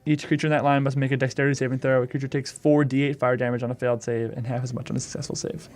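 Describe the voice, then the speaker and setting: A man's voice: mid-range voice, flat delivery, very fast, darkish tone, slight background noise. A man chatting casually as a player in a tabletop role-playing game.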